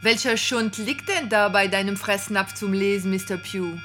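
A woman's voice: medium pitch, moderate pace, fairly bright tone, natural voice. Icy, biting wife over music, played by a woman. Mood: icy scorn. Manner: biting, haughty. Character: icy, snide, scolding wife, Mrs. Pugh. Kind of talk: radio play acting.

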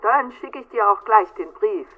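A woman's voice: medium pitch, expressive, normal speed, lightly acted. An actress playing a chatty, familiar female clerk in a German radio drama. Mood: chatty, reassuring. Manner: chatty, adds questioning tags. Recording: dull sound, faint background noise.

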